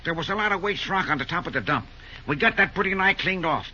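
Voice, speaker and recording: Sharp, high-voiced folksy railroader. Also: male voice, animated, fast talker, breathy edge, heavily acted. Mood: earnest, folksy. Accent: rural American. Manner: folksy, plain-spoken. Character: eager, plain-spoken railroad engineer. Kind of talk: old-time radio drama acting. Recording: dull old radio recording, faint noise.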